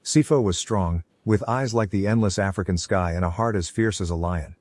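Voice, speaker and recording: A man's voice: low voice, moderate pace, darkish tone, dry recording. A man narrating a scripted folk tale as a storyteller.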